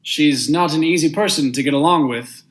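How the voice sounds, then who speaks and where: A man's voice: fairly high pitch, normal speed, close to an everyday voice. A young man acting a stern judge and narrator in a vintage radio drama, speaking formally and with measure. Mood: polite, controlled. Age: young adult. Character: stern, unmoved male judge, husband and brooding narrator.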